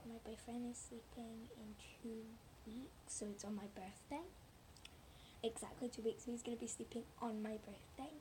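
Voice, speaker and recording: A woman chatting casually and haltingly through a hands-on demonstration for viewers, with a hoarse, breathy voice that keeps thinning into a whisper. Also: on the high side, steady tone. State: hoarse, losing her voice. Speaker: slime video maker talking to viewers. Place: at home indoors. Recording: noisy amateur home recording.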